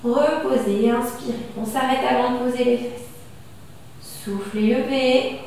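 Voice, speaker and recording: A French woman in her thirties guides a gym class in a high, unhurried voice, calling out each breath and lift. She is recorded from across a small, very echoey studio. A faint hum of room ambience sits underneath. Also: distant mic. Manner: calm, slow cueing of breath and movement. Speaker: fitness instructor. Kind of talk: guided exercise class instruction. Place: small gym studio with wall bars, indoors.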